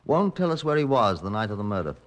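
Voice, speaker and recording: An actor playing a dry, determined chief inspector who narrates a vintage radio police drama in measured, understated tones. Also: male voice, lowish voice, sing-song. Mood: brisk, terse. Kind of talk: golden age radio police drama acting. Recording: dull, lo-fi old radio recording.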